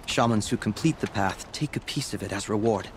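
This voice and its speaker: Man playing an earnest, low-voiced apprentice shaman. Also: background noise, lightly acted. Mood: solemn, guiding. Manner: measured, solemn, earnest. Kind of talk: video game dialogue acting.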